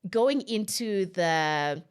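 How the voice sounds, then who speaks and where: A woman's voice: mid-range voice, lively intonation, drawn-out words, clear, resonant tone. A woman in her late thirties, a podcast guest, talking chattily with many fillers and light laughter. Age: 30s. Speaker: podcast guest and content creator. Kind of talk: casual podcast conversation.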